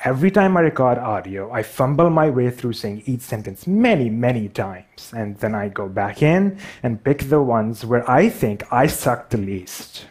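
A man's voice: medium pitch, big pitch swings, moderate pace, hardly any pauses, a little mellow, breathy edge. Wry man with a Pakistani accent. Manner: wry, self-deprecating humor. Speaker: speaker. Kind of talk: stage talk. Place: large theater stage. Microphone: headset.

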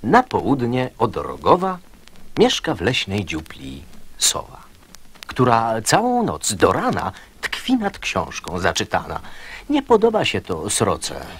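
Animated, slow male storyteller. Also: lowish voice, slightly rough. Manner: expressive storytelling. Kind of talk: dramatic recitation of children's verse.

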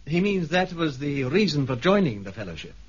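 Earnest educated sleuth, pointed delivery, husky, animated, 1970s radio play. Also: male voice, fairly high pitch, fairly quick. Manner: articulate, pointed. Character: earnest, educated investigator, polite but accusing. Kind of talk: 1970s British radio drama acting. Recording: dull 1970s radio recording.

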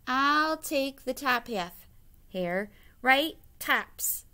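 A woman voicing a sleepy, grumpy, gullible male bear in a storybook, with drawn-out complaining. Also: high-pitched, animated, pushed and bright, voice pushed up, full character voice.

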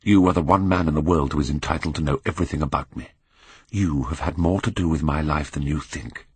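A man voicing a proud young Victorian gentleman in an audiobook, hard, contemptuous and bitterly mocking. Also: a bass voice, brisk, husky, no room sound, exaggerated voice. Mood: harsh, sardonic.

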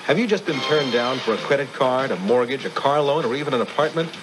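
A male announcer with a medium-pitched voice pitches a hard-sell radio commercial. He is emphatic and questioning, with music clearly behind him. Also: lively intonation, fast talker.

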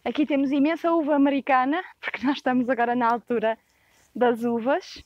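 A young woman with a European Portuguese accent gives a casual walkthrough tour of a rustic stone farmhouse. She describes each space in a relaxed way, with frequent hesitations. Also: high voice, brisk, lo-fi sound, a little hiss or hum. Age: young adult. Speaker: property tour guide.

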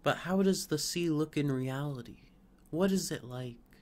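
A man's voice: a little high, measured pace, a little mellow, pitched above the performer's own voice, natural voice. A man voicing a restless young fir tree that yearns to be grown and carried off, speaking in eager exclamations. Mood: yearning. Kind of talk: storybook reading.

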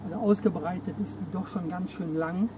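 A man's voice: high voice, fairly even intonation, a little fast, background noise. A middle-aged man co-hosting a camping vlog, casually explaining a product outdoors. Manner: explanatory, chatty, gestures while talking. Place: outdoors on a campsite lawn beside a camper van. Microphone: camera mic from a few meters.

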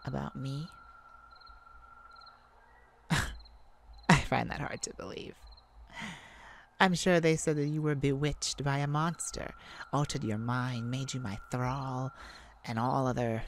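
Slow, gravelly, lovesick vampire played by a woman. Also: very deep voice, fairly even intonation, slight background noise, heavily acted. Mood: wary, sombre. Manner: hesitant, emotional, halting with sighs and pauses. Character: tender, wounded, lovesick vampire speaking to a hunter. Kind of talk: ASMR roleplay, intimate dramatic monologue.

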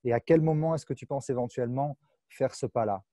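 A French man hosts a festival interview over a video call, his voice mellow and dry. He asks long, searching questions through an earphone mic from a room at home. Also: mid-range voice, a little fast, no room sound. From France.

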